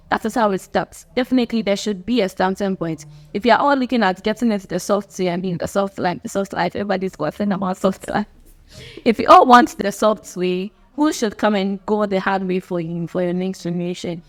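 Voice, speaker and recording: Earnest Ghanaian woman talking to camera. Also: mid-range voice. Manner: earnest, repeats herself, laughs between points. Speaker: channel host. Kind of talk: unscripted talk to camera.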